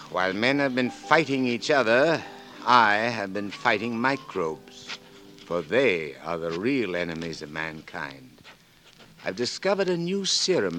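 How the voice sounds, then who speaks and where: Radio drama lead: impassioned man of science, deliberate pace, expressive range, 1940s broadcast sound. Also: on the low side, full character voice. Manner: measured, deliberate, bursting into urgent shouts. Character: impassioned, dedicated French male scientist, no longer young.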